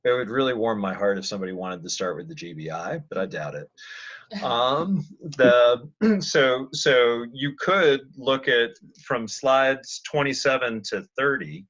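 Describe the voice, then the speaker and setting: Male meeting leader, unhurried and halting, informal presenting style, muffled conference-call sound. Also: medium pitch, expressive, a bit bright. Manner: halting, thinking aloud. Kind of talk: casual work conference call. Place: online video conference call.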